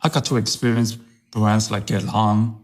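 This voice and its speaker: Man with Chinese as first language, low voice, middle-aged, repeats himself for emphasis, relaxed interview talk. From Hong Kong, raised in New York. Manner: repeats phrases for emphasis, unhurried. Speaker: podcast guest, fragrance brand director. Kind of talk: casual interview chat.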